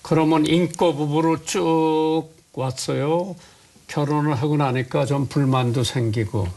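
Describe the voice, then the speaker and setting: Older man interviewing, creaky higher voice. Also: steady tone, unhurried, a little mellow. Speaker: host. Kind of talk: conversational interview.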